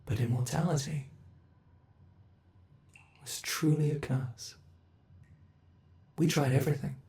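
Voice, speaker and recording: A man voicing an ancient, immortal monster under the bed, speaking in a slow, brooding, gravelly ASMR horror roleplay monologue. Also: on the high side, fairly even intonation, darkish tone.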